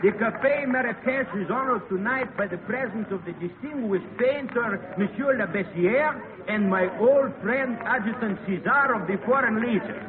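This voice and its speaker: An actor playing a fussy, greedy foreign café owner with hesitant, stilted phrasing, in 1930s radio style. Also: male voice, very high-pitched, normal speed, phone-quality sound. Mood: showy. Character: excitable, greedy male North African café proprietor. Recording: thin, noisy 1930s radio broadcast.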